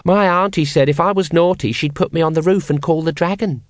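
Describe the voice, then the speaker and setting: A man's voice: fairly high pitch, fairly quick, no room sound, studio quality, pitched above the performer's own voice, lightly acted. A man voicing a small, naive child who chats cheerfully and matter-of-factly about gruesome things in a storybook. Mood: chatty.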